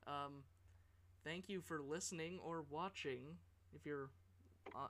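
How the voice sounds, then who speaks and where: A woman's voice: sits low, measured pace, fairly bright tone. A woman co-hosting a casual podcast with friends, dry and teasing as she wraps up the show. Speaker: podcast co-host. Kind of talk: casual chat among friends.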